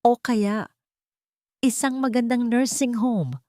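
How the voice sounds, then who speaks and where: A woman's voice: a little high, slow pace, no room sound, studio quality, voice pushed up. A woman voicing a cruel, scheming daughter-in-law in an audio drama, needling and falsely sweet. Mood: falsely concerned. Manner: sharp, needling, feigning concern.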